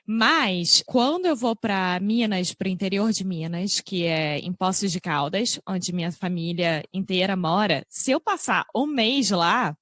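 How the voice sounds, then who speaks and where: A woman's voice: medium pitch, lively intonation, unhurried, hardly any pauses, fairly bright tone. A woman from Rio with a Carioca accent, hosting a podcast and speaking as a teacher in an emphatic way. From Rio de Janeiro, Brazil. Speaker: podcast host, language teacher. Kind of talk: casual podcast conversation.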